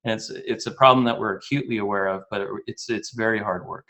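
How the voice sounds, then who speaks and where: A man's voice: fairly low pitch, steady tone, fast talker, pushed and bright. A man answering interview questions as a guest engineering manager, explaining in a casual way with fillers and self-corrections.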